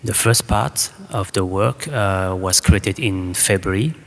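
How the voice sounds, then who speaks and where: A man with a French accent gives an informal talk in hesitant, halting English. His voice is low and his delivery very slow. It carries through a reverberant hall. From France. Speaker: choreographer, guest speaker. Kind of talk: informal public talk and audience Q&A. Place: indoor public hall with audience. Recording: echoey hall, faint background noise.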